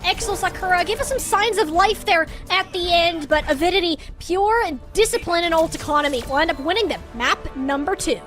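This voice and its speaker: A woman doing live esports commentary as a caster, excitable and colloquial, with playful interjections. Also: very high voice, brisk, few pauses, faint music behind.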